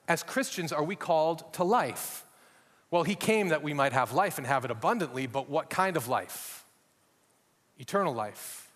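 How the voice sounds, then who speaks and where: A man's voice: fairly high pitch, fairly bright tone, long reverb tail. A middle-aged American man from Minnesota, preaching a sermon as a guest speaker with folksy, self-deprecating humor. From Minnesota, USA. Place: church hall.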